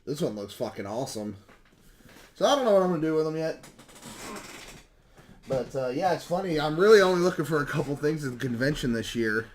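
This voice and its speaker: A man with a slightly hoarse voice chats casually and ramblingly as a video host showing off recent pickups. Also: fairly high pitch, lively intonation, brisk, long pauses, slight background noise. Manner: rambling, self-correcting, mild swearing. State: slightly hoarse, losing his voice. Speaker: YouTube video game collecting host.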